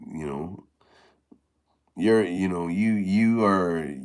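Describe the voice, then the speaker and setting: A middle-aged man with a low voice chatting casually about playing harmonica, halting and rambling with many fillers and restarts. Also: mellow. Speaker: harmonica player giving tips. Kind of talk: casual live-stream chat.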